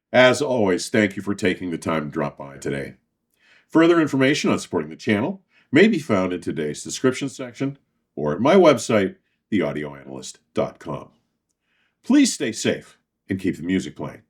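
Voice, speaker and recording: A man hosting a scripted product review, speaking formally in measured, emphatic phrases with deliberate pauses. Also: sits low, sing-song, husky. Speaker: host and audio equipment reviewer.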